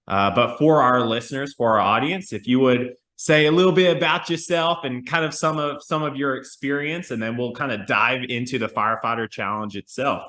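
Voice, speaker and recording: Echoey male podcast host, halting delivery. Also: medium pitch, a bit bright. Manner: halting, rephrases questions as he goes. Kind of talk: conversational podcast interviewing. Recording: roomy, echoey sound.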